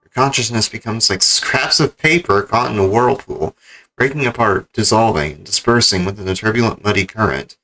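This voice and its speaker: A man's voice: on the low side, moderate pace, sharp tone, poor audio. A man livestreaming a video game, commenting and reading the story text aloud.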